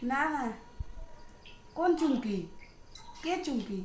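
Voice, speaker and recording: A woman's voice: high voice, expressive, slow pace, roomy sound, noisy background. A woman making a prank phone call while posing as a fan, giving short replies. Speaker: prank caller.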